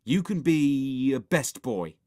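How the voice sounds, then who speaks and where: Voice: mid-range voice, sing-song, moderate pace, pitched above the performer's own voice, natural voice. Narrator doing a horrid bossy little boy from a kids' storybook, sneery, swings all over, barks orders. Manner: bellowing, commanding, sneering. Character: bossy, scheming, horrid young boy director.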